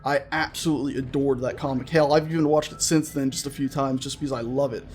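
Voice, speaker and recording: A man's voice: medium pitch, steady tone, quick pace, a little mellow. A man hosting a gaming video with casual, chatty, playful and enthusiastic let's-play commentary. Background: game music.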